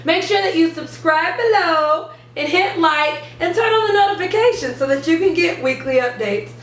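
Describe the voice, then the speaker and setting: A woman hosting an instructional video for parents and teachers, speaking in an animated, emphatic, teacherly way. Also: very high-pitched, moderate pace. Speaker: host of an education channel for parents and teachers. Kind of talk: upbeat instructional video to camera. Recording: roomy, distant mic, faint background noise.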